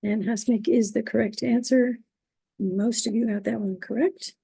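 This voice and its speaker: A woman moderating an online trivia quiz, reading out questions and standings, who is losing her voice and keeps clearing her throat. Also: on the high side, steady tone, mellow, no room sound. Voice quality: gravelly, husky. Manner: upbeat, reading standings, halting on invented words.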